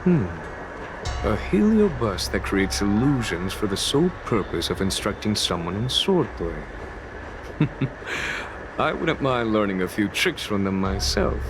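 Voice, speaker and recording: An actor playing a wise middle-aged general in a video game, calm, measured and formal. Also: male voice, sits low, background noise. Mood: wry calm. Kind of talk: game voice acting.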